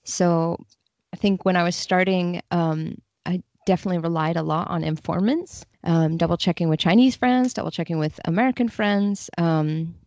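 A woman's voice: lowish voice, moderate pace, a little mellow, no room sound. A woman speaking casually as a guest in an interview, explaining her work. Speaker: podcast guest, literary translator.

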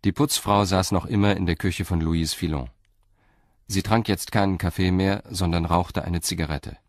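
A male narrator with a deep, low voice tells a crime story for radio. His delivery is measured and matter-of-fact, and the studio sound is dry, without room echo. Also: steady tone.

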